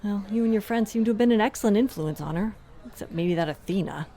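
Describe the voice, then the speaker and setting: A woman's voice: medium pitch, fast talker, mellow, natural voice. A woman playing a stern but loving middle-aged mother and principal in a comedic audio drama, firm and motherly. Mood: exasperated, wistful.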